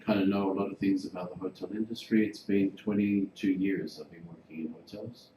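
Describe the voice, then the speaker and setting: Man from Greece, 40s, casual hotel-trainer delivery, fillers; boomy room, background noise. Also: fairly even intonation, fairly quick, mellow. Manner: chatty, informal, filler-heavy. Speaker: hotel general manager giving a workshop. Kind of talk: relaxed presentation to a class. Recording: roomy, noisy room recording.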